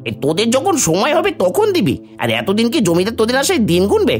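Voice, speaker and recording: A man playing a bullying rent-collecting henchman in an animated folk tale, threatening and impatient. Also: very high voice, fast talker, faint music behind, heavily acted.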